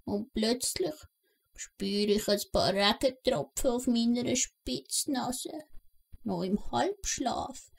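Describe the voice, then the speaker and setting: A woman with a Swiss German accent playing a kindly elderly gnome grandmother, telling things slowly in a children's audio play. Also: a little high, gravelly, husky, heavily acted. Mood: storytelling.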